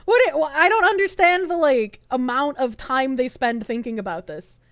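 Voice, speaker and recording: A high-voiced woman streamer whose pitch leaps around as she talks. Her commentary is casual and sarcastic. The recording is dry and close, with no room sound. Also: moderate pace, no room sound. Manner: sarcastic and animated.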